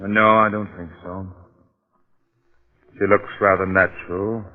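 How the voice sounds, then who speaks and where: An actor playing a polite, persistent police detective in 1940s radio drama, probing and courteous. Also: male voice, deep voice, level tone, measured pace. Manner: probing, courteous, hesitant phrasing. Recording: muffled, narrow-band old radio recording.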